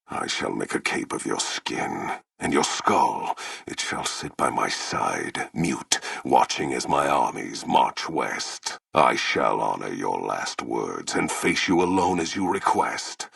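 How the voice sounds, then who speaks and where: An actor playing a towering, brutal warlord in a video game, proud and menacing, with a rasping voice and grandiose, solemn, formal delivery. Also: male voice, very deep voice, fairly bright tone, no room sound, clean studio sound, exaggerated voice. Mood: menacing, contemptuous. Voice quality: gravelly, rasping. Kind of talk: theatrical video game villain acting.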